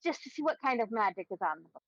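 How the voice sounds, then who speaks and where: Female tabletop player, high pitch, measured and wry delivery, close dry sound. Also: very animated, fairly bright tone, no echo, lo-fi sound. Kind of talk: in-game roleplay chat.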